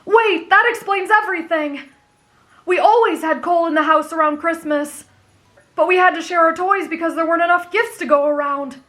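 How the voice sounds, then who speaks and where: A woman voicing a kind, naive teenage boy demon slayer with a gentle, sincere way of explaining things, in an anime fan audio roleplay. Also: very high voice, moderate pace. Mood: naive, cheerful.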